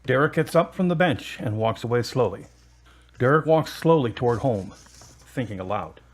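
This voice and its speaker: Male story narrator, medium pitch. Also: expressive, moderate pace.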